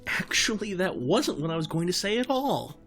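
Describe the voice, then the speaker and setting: A woman's voice: lowish voice, big pitch swings, moderate pace, fairly bright tone. A woman playing a blunt, dry, impatient teammate in an audio drama. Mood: blunt, urgent. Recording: faint music behind.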